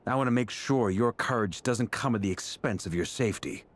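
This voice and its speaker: An actor playing a steady, commanding knight grand master in a video game, speaking with measured authority. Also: male voice, medium pitch, lightly acted. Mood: earnest, concerned.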